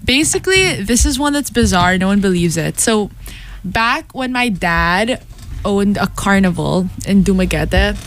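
A woman's voice: mid-range voice, lively intonation, measured pace. A young woman with a Filipino accent, co-hosting a casual chat with friends and telling a rambling story full of fillers. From Philippines. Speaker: podcast co-host.

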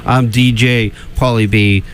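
Giggly Boston radio host. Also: male voice, medium pitch, steady tone, measured pace, a little hiss or hum. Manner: giggly, rambling, self-correcting. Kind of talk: casual radio banter.

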